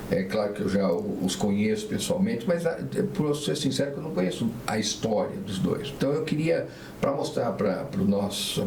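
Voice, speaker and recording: A man's voice: mid-range voice, a little mellow. A man hosting a casual podcast, talkative and easygoing, who keeps checking in with little tag questions. His voice sits in a middle range. The sound is roomy and a little distant from the mic, with a faint hiss underneath. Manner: chatty, leans on tag questions. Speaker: podcast host. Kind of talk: casual interview chat. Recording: echoey room, mic at a distance, faint noise.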